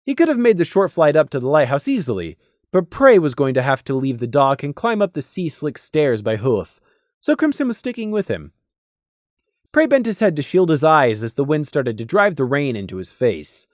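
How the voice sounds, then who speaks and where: A male storyteller narrates fantasy fiction with animated, wide-moving intonation. The recording is close and dry, and the delivery is clearly scripted. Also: fairly high pitch, normal speed, dry recording.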